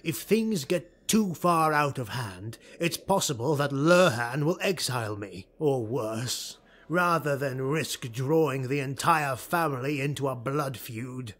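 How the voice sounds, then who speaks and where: A man narrating an audiobook, voicing a ruthless, driven male dark elf lord in an urgent, commanding, clipped way. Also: mid-range voice, expressive, a little slow, few pauses. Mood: pressing.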